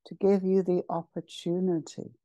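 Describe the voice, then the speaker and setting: A woman leading a guided meditation as a channeled spiritual address, solemn and ceremonial, speaking in short measured phrases with pauses. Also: lowish voice, flat delivery, dark tone, dry recording, highs cut off.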